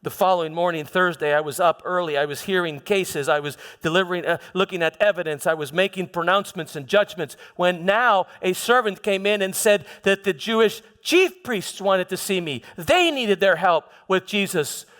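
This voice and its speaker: A man's voice: high-pitched, normal speed, few pauses, fairly bright tone, clear, resonant tone. A man performing a troubled Roman governor's first-person monologue, telling the story with emphatic repetition in a large hall. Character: troubled, self-justifying Roman governor Pontius Pilate recounting events. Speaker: church dramatization performer. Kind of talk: dramatic first-person monologue before a congregation. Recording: long hall reverb.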